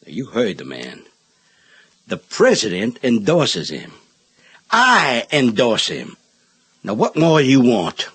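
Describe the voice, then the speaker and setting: Brash, husky party politician. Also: male voice, mid-range voice, huge pitch swings, takes it slowly, long pauses, a bit bright, full character voice. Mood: decisive. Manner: blunt, argumentative. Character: brash, domineering party politician backing a candidate. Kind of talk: 1980 radio drama acting.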